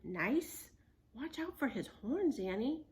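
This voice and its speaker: A woman's voice: on the high side, sing-song, takes it slowly, voice pushed up. Woman voicing a cautious, urgent, bossy young boy. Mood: alarmed. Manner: urgent, often hushed and whispery. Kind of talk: children's storybook read-aloud.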